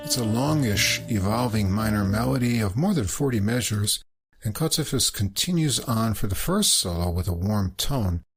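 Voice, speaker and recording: A man's voice: lowish voice, expressive, moderate pace, faint music behind. A man hosting a podcast, reading out musician credits and describing recordings in an informative way. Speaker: podcast host.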